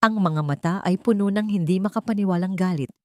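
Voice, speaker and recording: A woman's voice: medium pitch, a little fast, mellow, no echo, studio quality. A woman reading a scripted romance drama as a storyteller, with dramatic narration.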